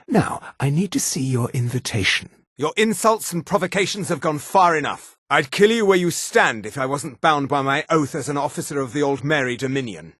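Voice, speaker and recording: Snooty high elf officer for a fantasy game, clipped n condescending, really animated delivery, bit of husk. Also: male voice, husky, dry recording, clean studio sound. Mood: cold, officious, then indignant. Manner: disdainful, formal, clipped authority. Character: haughty male high elf officer of an imperious regime. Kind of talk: fantasy video game character dialogue.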